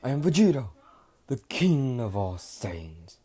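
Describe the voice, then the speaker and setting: A man voicing a proud, arrogant alien warrior king who barks gruff orders. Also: fairly low pitch, slow. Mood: commanding. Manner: gruff, scolding, shouting.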